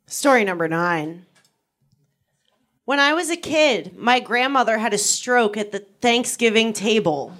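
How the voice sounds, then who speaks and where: A woman's voice: on the high side, lively intonation, a bit bright. A woman announcing and reading out story cards on a game show. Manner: measured reading out.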